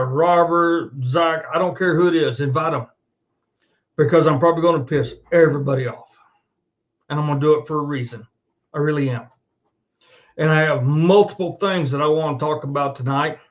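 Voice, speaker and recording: A man with a slightly high voice rants off the cuff as host of his own online show. He is blunt and sweary, hammering points home by repeating them. The sound is dull and lo-fi. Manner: blunt, profane, emphatic, repetitive. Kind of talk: unscripted monologue rant to camera. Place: indoors at a computer.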